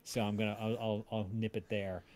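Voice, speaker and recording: A man's voice: lowish voice, level tone, darkish tone. Rambling man telling stories casually. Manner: rambling, halting, self-interrupting. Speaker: podcast creator and voice-drama maker. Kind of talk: casual storytelling on a livestream.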